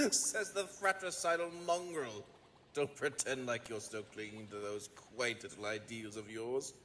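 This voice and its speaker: An actor playing a gloating, obsessive mad scientist in a gothic audio drama, theatrical, taunting and sneering. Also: male voice, medium pitch, lively intonation, a little slow, sharp tone, a little hiss or hum. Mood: scornful, zealous. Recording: long hall-like reverb.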